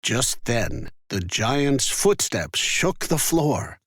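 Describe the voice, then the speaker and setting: A man narrating a scripted children's fairy tale in an expressive, careful storytelling manner. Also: medium pitch, extremely slow, no echo, clean studio sound.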